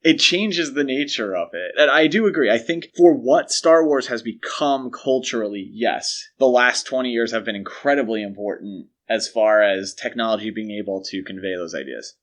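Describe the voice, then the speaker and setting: A man co-hosting a podcast, talking casually and explaining his measured opinions on pop culture. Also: mid-range voice. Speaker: podcast co-host.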